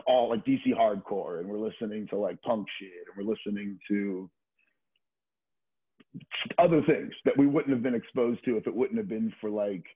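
Middle-aged man, husky voice, casual storyteller. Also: lowish voice, phone-quality sound. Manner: informal, slangy, swearing, frequent "like" and "you know". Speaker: podcast guest, skateboarder.

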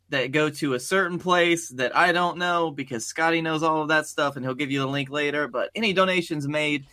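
A man's voice: a little high, bright, forceful tone. A young man co-hosting a comedy podcast, talking hesitantly with frequent ums and uhs. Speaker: podcast co-host. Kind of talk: casual comedy podcast banter.

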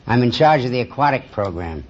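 A man's voice: medium pitch, big pitch swings, brisk. A middle-aged man with an American accent, giving plain, matter-of-fact answers as a quiz contestant. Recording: dull old radio, faint noise.

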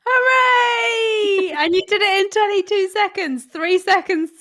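A woman's voice: very high voice, a little slow, pushed and bright, raised voice. A woman hosting a podcast, bubbly and chatty, who swears casually while she introduces a guest and interviews her. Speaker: podcast host.

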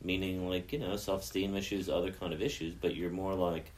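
A man's voice: deep voice, little pitch movement, brisk, crowd noise. A man chatting casually in a group class, rambling through a story with lots of fillers. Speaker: class participant. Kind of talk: casual chat.

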